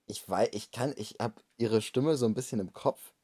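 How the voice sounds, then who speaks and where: A man's voice: on the low side, normal speed, mellow, breathy edge. A young man co-hosting a casual chat podcast, rambling with hesitant restarts. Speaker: podcast co-host.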